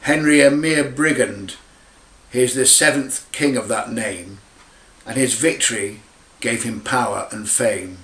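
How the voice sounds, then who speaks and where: A man reciting a dramatic monologue in rhyming verse, with solemn, declamatory, measured phrasing. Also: mid-range voice, fairly bright tone. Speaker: poet reading own poem. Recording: roomy, echoing amateur recording.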